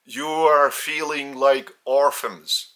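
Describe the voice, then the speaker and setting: A man with a Lithuanian accent, a spiritual teacher speaking in short halting phrases with restarts. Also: medium pitch, fairly even intonation, fairly bright tone.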